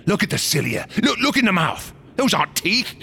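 An actor in a radio drama playing a fanatical, temperamental artist, rambling and stammering with feverish intensity in a gravelly, raspy voice. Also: male voice, high voice, sing-song, fairly quick, bright, forceful tone, heavily acted. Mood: feverish zeal. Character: fanatical, temperamental male artist of monstrous museum exhibits.

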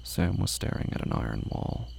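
A narrator reading scripted horror fiction in short, deliberate phrases. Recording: faint background noise.

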